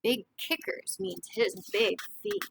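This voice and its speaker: A woman reading a picture book aloud as a teacher of young children, pausing for simple explanations and questions to the listeners. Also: mid-range voice, sing-song, vocal fry, a bit bright, a little hiss or hum.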